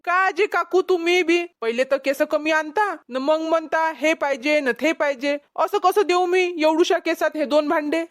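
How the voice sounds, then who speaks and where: A woman's voice: extremely high pitch, steady tone, moderate pace, few pauses. A woman voicing a put-upon village pot peddler in a cartoon comedy, nervous and complaining, with a Vidarbha Marathi accent. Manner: whiny, protesting, meekly deferential. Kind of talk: exaggerated cartoon comedy acting.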